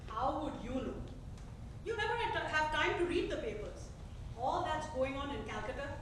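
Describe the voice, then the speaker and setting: Woman acting fretful loving mother, high-pitched, staged play reading, distant, heavy hall echo. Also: lively intonation, moderate pace. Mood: anxious. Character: caring, worried mother of a grown son. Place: theater stage. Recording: echoey theater recorded from afar, faint noise.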